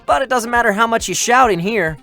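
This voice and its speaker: A man's voice: very high-pitched, bright, forceful tone, soft background music, exaggerated voice. A man voicing a young male android scanner who teases and banters playfully. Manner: playful, teasing, quick banter.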